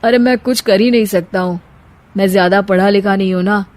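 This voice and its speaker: A woman's voice: medium pitch, steady tone, brisk, darkish tone, lightly acted. Woman playing a meek, simple young husband. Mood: sheepish. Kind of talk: Hindi moral story dramatization.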